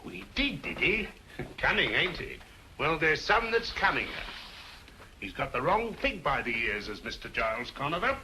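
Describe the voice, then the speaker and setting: An actor playing a cocky, blustering English police inspector in a vintage detective film style, sarcastic and colloquial. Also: male voice, fairly high pitch, very animated, moderate pace, background noise. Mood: smug. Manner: sarcastic, colloquial, self-satisfied.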